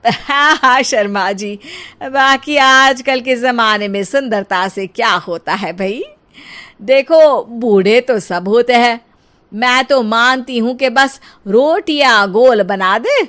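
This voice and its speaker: An actress voicing a proud, boastful middle-aged mother-in-law who scolds and lectures slowly. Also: on the high side, animated, fairly bright tone. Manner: slow, scolding and preachy.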